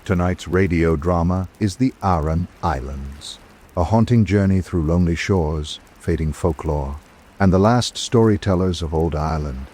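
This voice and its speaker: Deep-voiced male radio drama narrator. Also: moderate pace, darkish tone, husky. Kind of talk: scripted old-time radio narration.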